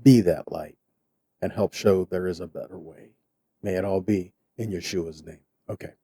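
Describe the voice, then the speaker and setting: A man giving sermon-style Bible teaching in short, deliberate phrases with an earnest, exhorting manner. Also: sits low, warm tone, breathy edge, no room sound, clean studio sound.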